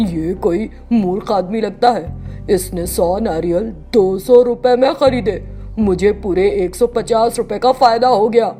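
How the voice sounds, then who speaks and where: A woman's voice: mid-range voice, unhurried, dark tone, soft background music, natural voice. A woman voicing a greedy, brusque male coconut seller who haggles, in a storybook tale. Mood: gloating. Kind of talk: storybook character voice.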